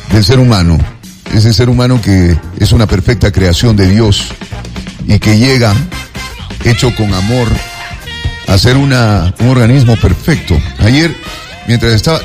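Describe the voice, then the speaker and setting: A man with an Ecuadorian accent hosting a casual talk show, effusive and digressive, often halting and repeating himself as he chats. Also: on the low side, a little mellow. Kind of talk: casual rambling monologue and interview chat.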